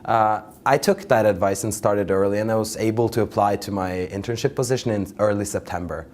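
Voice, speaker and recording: A man's voice: fairly low pitch, brisk, darkish tone. A young man from Norway in his twenties, a master's student earnestly giving advice in a testimonial interview.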